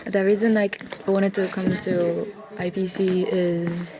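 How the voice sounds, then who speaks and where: A young woman from Japan, a student giving an interview answer. She speaks deliberately, pausing with fillers. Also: lowish voice, level tone, a crowd in the background.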